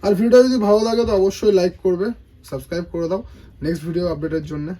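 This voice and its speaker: A man's voice: high-pitched, fairly even intonation, fast talker, dark tone, slight background noise. A man with an Indian Bengali accent hosts a casual tutorial vlog, presenting to viewers. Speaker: vlog host.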